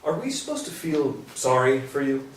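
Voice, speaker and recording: A man's voice: medium pitch, very animated, normal speed, lightly acted. A man playing a blunt but considerate carpenter in a staged play reading. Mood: indignant. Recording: roomy, distant mic, faint noise.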